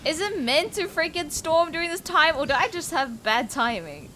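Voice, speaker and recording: A woman's voice: high-pitched, expressive, moderate pace, sharp tone, slight background noise. Young woman chatting through a game. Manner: rambling, informal, musing aloud. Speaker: gaming video creator. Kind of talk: casual let's-play commentary. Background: game music.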